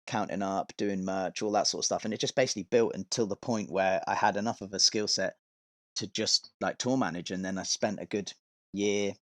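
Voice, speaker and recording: A man with a fairly low-pitched voice talks as a guest on a podcast. He tells stories in a loose, rambling, informal style with some swearing. The sound is dry, with very little room on it. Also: fairly even intonation, fairly quick, dry recording. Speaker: podcast guest, touring metal vocalist and tour manager. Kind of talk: casual podcast chat, telling stories from touring.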